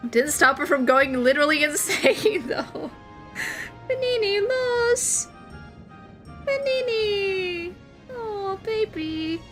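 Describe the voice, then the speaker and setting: A Dutch woman gives casual, expressive commentary on a game stream. Her voice is very high and swings freely up and down, at an unhurried pace. She sounds playful throughout. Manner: playful, expressive, reacting aloud. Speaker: VTuber streamer playing a video game. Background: soft game music.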